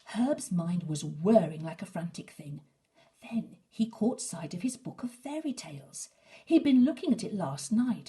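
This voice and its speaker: A woman storyteller narrating a children's bedtime story expressively and dramatically, sometimes softening toward a breathy near-whisper. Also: medium pitch.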